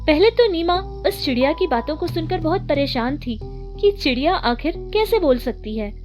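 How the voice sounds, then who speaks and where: A woman's voice: high voice, animated, moderate pace, a little mellow. A woman narrator reading a scripted children's story. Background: music.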